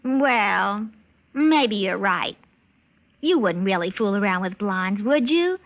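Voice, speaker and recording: An actress playing a coaxing, sweet-talking sweetheart in an old radio advert. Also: female voice, medium pitch, animated, slow. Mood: coy. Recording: muffled old radio recording.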